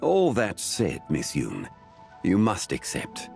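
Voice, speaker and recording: A man voicing a composed, courteous, learned gentleman in a video game, with formal, measured phrasing. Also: low-pitched, wildly swooping pitch, gravelly, soft background music, exaggerated voice. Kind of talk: scripted video game dialogue acting.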